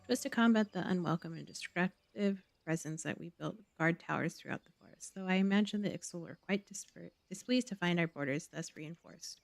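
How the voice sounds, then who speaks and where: A woman's voice: fairly low pitch, fairly quick, creaky, few pauses, no room sound. A woman casually commentating as she live-streams a game and reads out its quest text. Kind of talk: casual live gameplay commentary, reading quest dialogue aloud. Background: game music.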